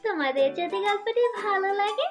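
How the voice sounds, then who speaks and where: Woman storyteller for kids' cartoon, very high childlike pitch, scripted read over music. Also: expressive, fairly quick. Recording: music playing behind voice.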